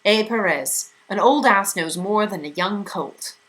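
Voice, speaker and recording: A woman voicing a tiny, odd old female being who recites proverbs in a slow, measured way. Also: medium pitch, fairly bright tone, close to an everyday voice, rough recording. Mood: sententious.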